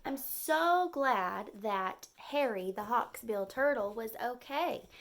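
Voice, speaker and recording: Lady doing storytime for little kids, real slow and expressive, highish voice, simple words. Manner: expressive, simple phrasing with questions to the listener. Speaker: children's storyteller. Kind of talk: storybook read-aloud with simple explanations for young children.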